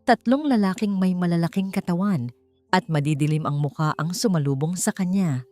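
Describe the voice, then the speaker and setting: A woman reading a dramatic scripted story in a solemn, measured, theatrical way. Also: on the low side, mellow, no echo. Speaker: storyteller, narrator. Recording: dry voice over background music. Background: music.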